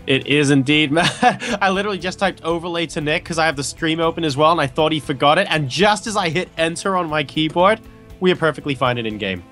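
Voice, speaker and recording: A man's voice: on the high side, sharp tone, soft background music. A man casting a video game match, explaining builds in detail and trading light jokes with his co-caster. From Hong Kong. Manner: analytical, joking, quick banter. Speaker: esports caster. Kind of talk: esports play-by-play commentary.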